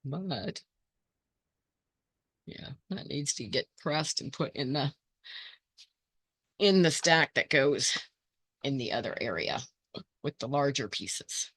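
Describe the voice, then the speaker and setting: A hoarse woman hosting her quilting channel, thinking aloud in casual chat as she sorts scrap fabric and greets viewers by name. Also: extremely low pitch, expressive, takes it slowly, long pauses, a bit bright, no echo.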